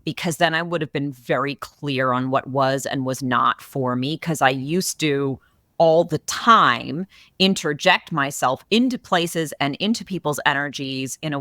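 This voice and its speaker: A woman with a big, low voice hosts a casual podcast and talks with bright, forceful energy. She tells her stories expressively, and the sound is dry and close. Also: animated, measured pace, no room sound. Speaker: podcast host.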